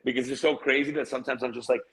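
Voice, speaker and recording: A man's voice: medium pitch, fairly even intonation, rapid-fire, fairly bright tone, breathy edge. A man talking as a guest in a casual chat over a video call, rambling and asking "right?" again and again. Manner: rambling, asks "right?" often.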